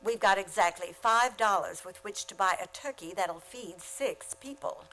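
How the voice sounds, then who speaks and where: A woman's voice: mid-range voice, moderate pace, sharp tone, soft background music, lightly acted, rough recording. A woman playing a dry, wisecracking lady schoolteacher. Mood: sardonic. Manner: dry, sardonic quips. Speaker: actress in a radio play. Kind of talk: comedic radio play acting with narration.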